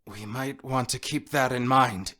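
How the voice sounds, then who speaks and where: A man playing a gruff, battle-worn hedgehog fighter in an audio drama, terse in manner. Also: medium pitch, fairly even intonation, a little slow, pushed and bright. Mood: wounded.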